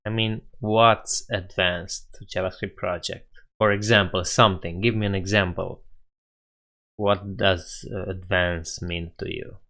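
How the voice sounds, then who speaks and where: Slow, deep-voiced Romanian-accented man. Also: steady tone, a bit bright. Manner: chatty, laughs often, thinks aloud with fillers. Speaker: live-streaming coding host. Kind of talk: casual live stream chat reading viewer comments.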